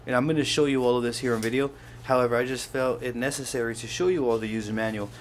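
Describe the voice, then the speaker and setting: A man's voice: medium pitch, fairly even intonation, quick pace, slight background noise. A man hosting a casual hands-on how-to video, explaining things step by step as he handles them. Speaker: host of a how-to product video. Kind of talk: casual hands-on tutorial narration.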